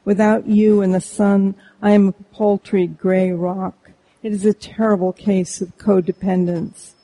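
Woman reading a moping moon, dark, gravelly, slow and mournful. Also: medium pitch, little pitch movement, poor audio. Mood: glum. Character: glum self-mocking moon, world-weary.

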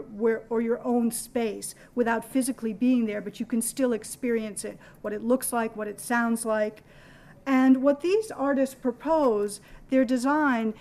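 A woman leading a group discussion as a museum educator, explaining an artwork in a clear, paced way. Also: a little high, a little mellow. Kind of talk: gallery talk to a group.

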